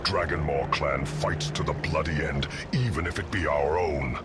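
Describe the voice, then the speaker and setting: A man's voice: rumbling low, normal speed. A man voicing a brutal, proud orc warlord who barks menacing commands in a fantasy game cutscene. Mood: stern command. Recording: very loud music over voice. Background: loud music.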